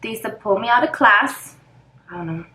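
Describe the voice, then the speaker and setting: A woman's voice: mid-range voice, expressive, moderate pace, bright, forceful tone, roomy sound, a little hiss or hum, rough recording. A woman in her thirties whose first language is Spanish, chatting casually in a get-ready-with-me vlog while she does her makeup, rambling and losing her place now and then. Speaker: vlogger.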